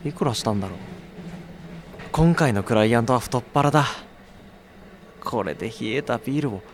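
A man's voice: mid-range voice, normal speed, a little hiss or hum, lightly acted. A man playing a harried young courier in a radio drama, mixing casual first-person narration with exasperated asides. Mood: wry, pleased. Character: harried young male courier, wry and irritable.

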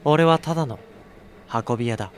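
A man's voice: fairly high pitch, brisk, slight background noise, natural voice. A man playing a harried young courier in a radio drama, mixing casual first-person narration with exasperated asides. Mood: tense, narrating. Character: harried young male courier, wry and irritable.

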